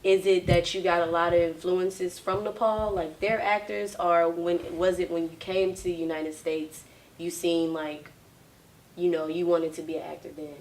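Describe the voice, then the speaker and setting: A woman interviewing an actor in a relaxed way, often affirming with "mm-hmm". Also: fairly low pitch, moderate pace. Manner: friendly, affirming with frequent "mm-hmm". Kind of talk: casual interview. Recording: distant mic.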